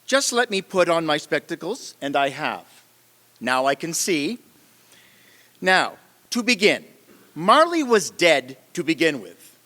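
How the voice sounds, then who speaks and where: Man as slow, theatrical Victorian storyteller in a hall. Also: a little high, very animated, sharp tone, heavily acted. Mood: dramatic narrating. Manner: deliberate, dramatic storytelling. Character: genial, theatrical male Victorian author and storyteller. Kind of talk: old-time radio play narration on stage. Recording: long hall reverb, music behind.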